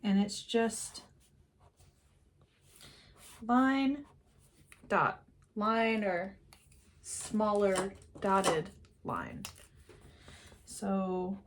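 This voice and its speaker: A woman talks through an intuitive reading in an unscripted, musing way, her thoughts wandering and her voice sinking into quiet asides. She speaks very slowly, in a mid-range voice that is neither high nor low. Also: lively intonation, slight background noise. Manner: slow, meandering, trailing off into quiet asides. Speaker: intuitive card reader. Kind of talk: unscripted spiritual reading to camera.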